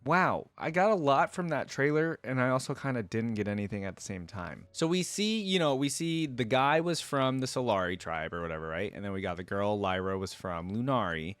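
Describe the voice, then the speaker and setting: A man's voice: medium pitch, expressive, a little fast, hardly any pauses, a bit bright, no room sound. A man hosting a reaction video, musing and thinking aloud in casual commentary.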